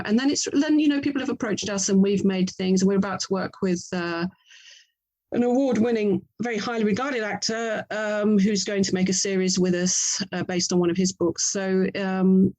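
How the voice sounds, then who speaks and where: Middle-aged female, English accent, medium pitch. Conversational interviewee, reflective and chatty, self-interrupting. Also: a little mellow, rough recording. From England. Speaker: author and audio drama producer being interviewed.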